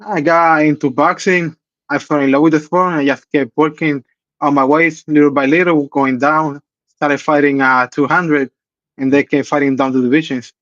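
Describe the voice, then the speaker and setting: Boxer on a dull, dry call. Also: male voice, a little high, steady tone, normal speed, fairly bright tone, no room sound. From Puerto Rico. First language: Spanish. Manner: short, plain sentences. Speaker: boxer guest. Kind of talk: casual interview answers. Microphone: video call from outdoors.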